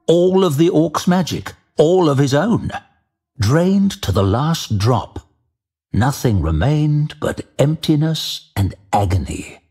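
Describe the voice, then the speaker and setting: A man narrating a scripted fantasy tale dramatically, with a measured, grave storytelling manner. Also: fairly high pitch, big pitch swings, darkish tone, slightly rough.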